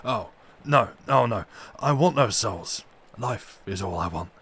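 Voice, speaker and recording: A man's voice: fairly low pitch, expressive, a little slow, fairly bright tone, slightly rough, heavily acted. A man voicing a cunning, superior asylum madman from a storybook, speaking in a pompous, emphatic way. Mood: insistent, then brighter.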